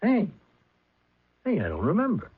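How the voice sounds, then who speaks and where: An actor playing a flustered middle-aged talent agent who stammers and rambles, in a 1970s radio drama. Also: male voice, high voice, wildly swooping pitch, moderate pace, slightly rough, full character voice. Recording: lo-fi old radio broadcast.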